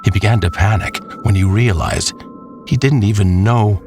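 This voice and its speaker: A man narrating a scripted horror tale in a dramatic, measured way with pauses. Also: lowish voice. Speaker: storyteller. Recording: music behind the voice. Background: clear background music.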